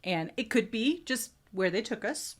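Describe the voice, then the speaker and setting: A woman's voice: on the high side, animated, unhurried. A woman from the American South with a Southern accent, hosting a casual chat and talking in a chatty, laughing way. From Southern United States. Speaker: host.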